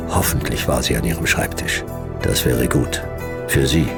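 An actor playing a laconic, dryly ironic private detective in a radio drama, terse and deadpan. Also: male voice, exaggerated voice. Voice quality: deep, gravelly. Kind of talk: noir radio drama narration and dialogue.

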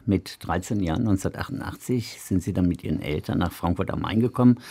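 A male radio host asks his questions in a measured, formal way. His voice is low and gravelly, with a dark, husky tone. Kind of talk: radio interview hosting.

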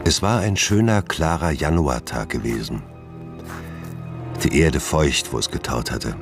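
An actor playing a tormented, secretive gentleman doctor in a German radio drama, brooding and formal in his diction, with a gravelly voice. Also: extremely low pitch, unhurried, over music. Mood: brooding, confessional. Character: tormented gentleman doctor, secretive, guilt-ridden, haunted by his dark side. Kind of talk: German radio drama acting and first-person narration.

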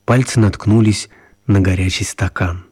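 An actor playing a calm storyteller, narrating a children's radio play in a scripted story style. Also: male voice, lowish voice, normal speed, husky. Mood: suspenseful narrating. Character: calm male storyteller reading a manuscript.

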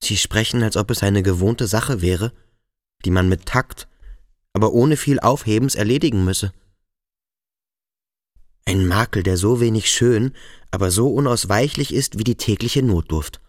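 A brooding, sensitive boarding school pupil played by a man in a radio drama. He speaks formally and deliberately in a low voice, recorded very dry. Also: no echo, close to an everyday voice. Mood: troubled, indignant. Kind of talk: literary radio play acting.

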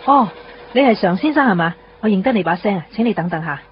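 A woman acts as a female airport staffer in a Cantonese radio drama, speaking with a Hong Kong accent. She talks quickly and matter-of-factly. The sound is a bit dull, with clear background noise. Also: medium pitch, animated, close to an everyday voice. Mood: polite, brisk. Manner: brisk, matter-of-fact. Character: brisk, offhand female airport staff member. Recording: dull recording, noisy background ambience.

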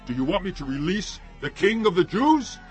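An actor playing a commanding, exasperated Roman governor judging a case in a scripture audio drama, with declamatory delivery. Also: male voice, high-pitched, big pitch swings, normal speed. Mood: firm appeal. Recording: music behind the voice.

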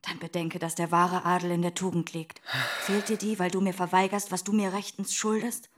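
A woman playing a humble, wounded young lover in a radio drama, pleading earnestly in hushed, breathy tones. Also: lowish voice, little pitch movement, a little fast, lightly acted.

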